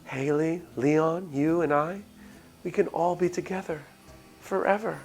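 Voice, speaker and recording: Man acting young woman, visual novel game character, higher-pitched, soft, sweet, eerie, coaxing cult recruiter, music behind. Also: a little slow, rough recording. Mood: sweetly pleading. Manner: soft, pleading, coaxing delivery.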